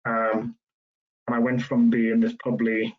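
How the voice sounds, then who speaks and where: A man in his late thirties from South Wales, with a South Welsh accent, giving a relaxed, conversational careers talk full of ums. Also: mid-range voice, unhurried. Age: 30s. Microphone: video call. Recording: lo-fi video call audio.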